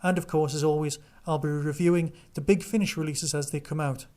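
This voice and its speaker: A man presents his podcast in a casual, conversational style, talking through news and reviews on his own. His voice sits a little higher than average for a man. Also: steady tone, brisk, a little mellow. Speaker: podcast host. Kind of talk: casual solo podcast review and listener chat.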